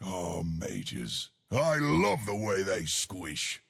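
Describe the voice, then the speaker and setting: An enormous ancient stone colossus from a video game, a cheerful brawler full of hearty, simple bravado. The voice is very husky and gravelly, with an unhurried delivery. It swings hugely in pitch. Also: male voice, sing-song, a bit bright, heavily acted. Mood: musing, amiable. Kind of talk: video game character voice lines.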